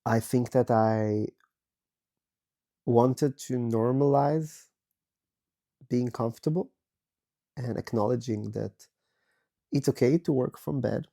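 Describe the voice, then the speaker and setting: Slow raspy hoarse man from Israel. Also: medium pitch, mellow. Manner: slow, thoughtful, candid, with many pauses. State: hoarse from a virus. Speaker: podcast host. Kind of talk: personal reflective monologue. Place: bedroom, recording from bed.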